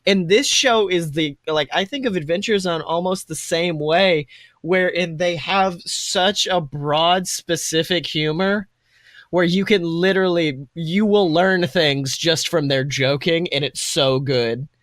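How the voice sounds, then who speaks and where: A man's voice: a little high, a little slow, fairly bright tone, no echo. A young man co-hosting a podcast, chatting casually and enthusiastically in a rambling, gushing way that often interrupts itself. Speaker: podcast co-host.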